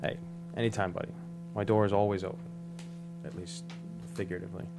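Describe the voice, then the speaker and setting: A man's voice: sits low, flat delivery, quick pace, creaky, over music. A man playing a weary, earnest former steroid-using gym owner in a comedic script read, blunt and confiding. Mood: earnest warning.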